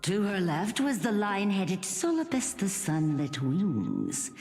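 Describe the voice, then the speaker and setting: A woman playing a gentle, dying grandmother who recounts an old legend in a solemn, breathy fantasy game style. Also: mid-range voice, wildly swooping pitch, slow, heavily acted. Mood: solemn, grave. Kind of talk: dramatic storytelling in a fantasy game. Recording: long reverb, music underneath. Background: music.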